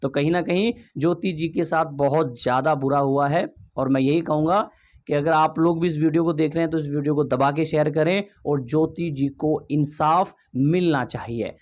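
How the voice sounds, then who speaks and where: A man's voice: fairly high pitch, moderate pace, a little mellow. A man giving emphatic gossip commentary, asking rhetorical questions and addressing viewers directly. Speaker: video commentator.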